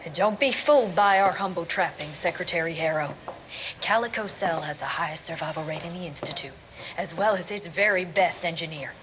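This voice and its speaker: A woman plays a composed doctor in charge in a game cutscene. Her voice is fairly low, her phrasing formal and measured. She sounds confident, with clear noisy ambience behind her. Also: lightly acted. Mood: assured, placating. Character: composed, assured female doctor and organization leader. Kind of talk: video game cutscene acting.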